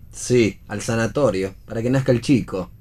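A man's voice: medium pitch, moderate pace, a little mellow, natural voice. An Argentine man playing a sarcastic, jittery young husband in a theatrical radio play reading. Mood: wry.

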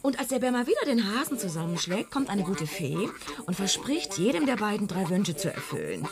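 A woman acting in a German radio play as a fed-up, sardonic wife, quietly defiant and biting as she talks. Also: mid-range voice, very animated, a little fast, over music. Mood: sardonic storytelling. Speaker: actress in a radio drama.

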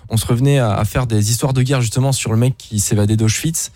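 A man's voice: medium pitch, steady tone, fast, warm tone, dry recording. A man from Luxembourg speaking casually and informally, with slang, as a guest in an interview. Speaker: interview guest, online video creator.

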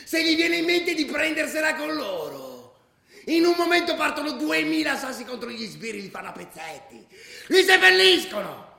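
A male actor playing a fired-up football ultra, telling of a brawl in forceful radio-drama style, prone to shouting. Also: extremely high pitch, very animated, normal speed, creaky, heavily acted. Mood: agitated. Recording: very echoey, reverberant room.

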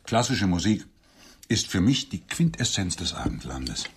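A man's voice: low voice, big pitch swings, measured pace, a little hiss or hum, heavily acted. An actor playing a lonely, grandiloquent middle-aged businessman wooing on a blind date in a radio drama, with florid, theatrical phrasing. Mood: pompous, eager.

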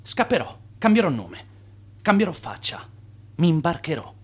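Radio drama lead: a defiant immigrant con man, highish pitch, very animated delivery. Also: moderate pace, slightly rough. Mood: resolute, brooding. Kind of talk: theatrical radio drama acting.

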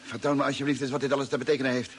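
An actor playing a confused, irritable male astronaut waking up weakened in a Dutch radio drama, querulous and halting. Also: medium pitch, level tone, close to an everyday voice. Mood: puzzled, irritable. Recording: noisy old radio drama recording.

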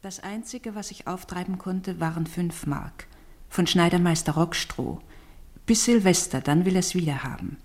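A woman's voice: lowish voice, normal speed, darkish tone, lightly acted. An actress playing a poor, loving mother who reads her letter to her son in a tender, troubled, confiding way in a radio drama. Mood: tender concern. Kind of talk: scripted radio drama, letter read in voice-over.

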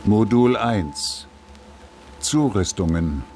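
A man announcing titles for a radio drama in a deliberate, formal, scripted manner. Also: fairly low pitch, animated, a little mellow, soft background music.

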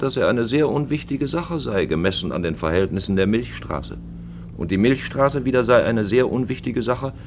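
Creaky, ironic radio-play commentator. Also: male voice, on the low side. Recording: noisy old radio recording with hum.

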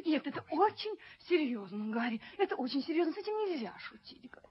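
A woman's voice: high voice, very animated, fast. A woman playing a coy, insistent young lady set on marriage in a radio drama, with a coquettish, coaxing manner. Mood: earnest, bright. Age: young adult. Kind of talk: Soviet radio drama acting. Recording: dull vintage radio-play tape with music.

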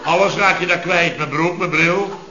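A man's voice: fairly high pitch, moderate pace, crowd noise, lightly acted. Blustering, exasperated boss played by a man, echoey recording. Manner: blustering, repetitive, indignant. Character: exasperated, blustering male chef boss telling of disasters. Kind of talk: radio comedy acting before an audience. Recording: echoey dull old radio recording, audience noise.

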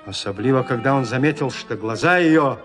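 A man narrating classic literature as an audio drama, with measured, expressive storytelling. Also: medium pitch, music in the background.

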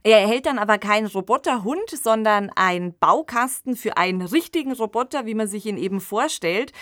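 A woman's voice: mid-range voice, a bit bright, no room sound, clean studio sound. A woman hosting a podcast, casually introducing a radio play in an explanatory, conversational way. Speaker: podcast host.

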